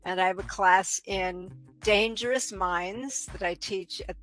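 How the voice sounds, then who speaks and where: A woman who is a forensic psychology professor, answering interview questions in a clear, matter-of-fact, explanatory way. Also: mid-range voice, unhurried, a bit bright, faint music behind, poor audio.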